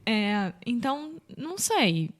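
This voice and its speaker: Rio woman acting out bright example greetings. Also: very animated, slow pace. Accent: Rio de Janeiro Portuguese. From Brazil. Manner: explains patiently, acts out example greetings with bright leaps in pitch. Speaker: podcast co-host teaching Portuguese. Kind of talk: casual teaching chat.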